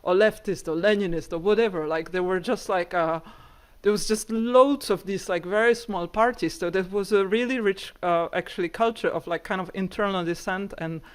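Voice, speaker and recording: A woman's voice: medium pitch, normal speed. Rambling woman researcher answering questions. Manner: rambling, full of "like" and "you know". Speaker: guest speaker, architecture researcher. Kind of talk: answering audience questions after a talk.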